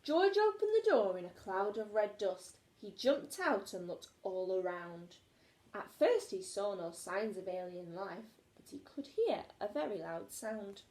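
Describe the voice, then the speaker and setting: Animated woman reading a children's story. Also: mid-range voice, a little slow. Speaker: teacher. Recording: echoey room.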